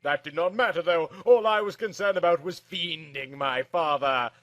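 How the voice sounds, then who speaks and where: Higher-pitched man narrating a dramatic story. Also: normal speed, fairly bright tone, muffled recording. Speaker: narrator reading a story aloud.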